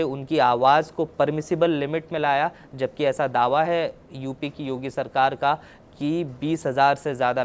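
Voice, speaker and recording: A man's voice: medium pitch, clear, resonant tone. A man presenting a news report, explaining as he goes and often restating his points.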